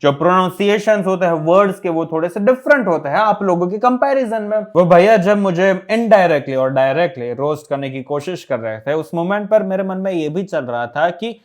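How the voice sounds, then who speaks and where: A young man from Gujarat with a Gujarati accent, telling a story casually to camera as a fitness vlogger, mixing in English words and pausing often. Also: high-pitched, lively intonation. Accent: Gujarati-accented Hindi. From Gujarat, India. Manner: chatty, mixes English words into Hindi, many pauses.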